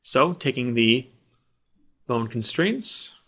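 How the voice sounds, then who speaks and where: A man with a mid-pitched voice narrates a software tutorial as the instructor. He talks plainly and practically, explaining each action step by step as he performs it. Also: very animated, slow pace. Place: voice-over of a screen recording.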